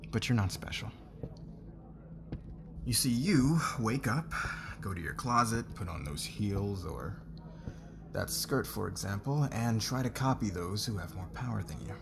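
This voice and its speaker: Brief: male actor as condescending, commanding boss for ASMR roleplay, low voice with animated swings, noisy ambient recording. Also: creaky. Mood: contemptuous. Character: arrogant, demanding, condescending male company boss.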